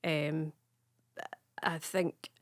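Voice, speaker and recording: A woman's voice: low-pitched, little pitch movement, extremely slow, dry recording, clean studio sound. A Scottish woman with a West End Glasgow accent, a comedian guest telling stories in chatty, laughing talk. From Scotland. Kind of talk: casual chat.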